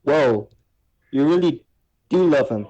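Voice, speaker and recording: A man's voice: medium pitch, expressive, measured pace, mellow, lo-fi sound. A man voicing a teasing, stubborn character in an amateur story reading, needling and insistent. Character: teasing, stubborn fan fiction character Allie.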